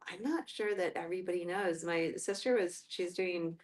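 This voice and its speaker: A woman's voice: lowish voice, normal speed, darkish tone. A woman explaining her own writing in a group discussion, thoughtful and conversational. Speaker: writer discussing own work.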